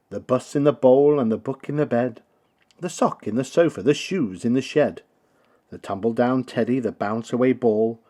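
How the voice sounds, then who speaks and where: A man reading a rhyming children's story aloud with theatrical, rhythmic, expressive delivery. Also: medium pitch, slightly rough. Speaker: storybook reader.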